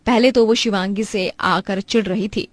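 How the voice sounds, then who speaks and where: A woman's voice: medium pitch, fairly even intonation, normal speed, no room sound. A woman giving scripted narration for a cartoon family story.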